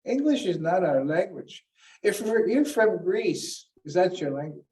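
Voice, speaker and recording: A man's voice: high voice, animated, a little slow, a little mellow. A man from Canada giving a keynote as an elder, teaching earnestly through stories and retold conversations. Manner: earnest, teaching, retells conversations with many restarts. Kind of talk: keynote talk with storytelling. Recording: voice picked up from a distance.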